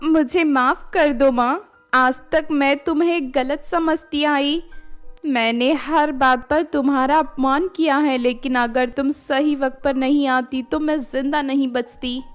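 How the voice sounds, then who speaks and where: A woman's voice: high voice, fairly even intonation, measured pace, soft background music. A woman voicing a spiteful, dramatic young stepdaughter in a cartoon moral story. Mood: remorseful. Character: spiteful, selfish young stepdaughter, hot-tempered, later panicked.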